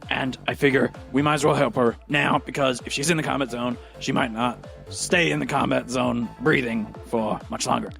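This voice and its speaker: A man's voice: medium pitch, steady tone, normal speed, creaky, fairly bright tone, soft background music. A man playing an exhausted, eccentric media personality in a tabletop game, blunt, rambling and flippant. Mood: urging, rambling. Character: scruffy, exhausted, blunt, eccentric male media show host.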